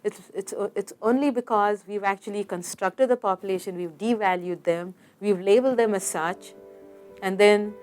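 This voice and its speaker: A woman's voice: mid-range voice, moderate pace, darkish tone, soft background music. A woman speaking in a serious, conversational way in a documentary interview.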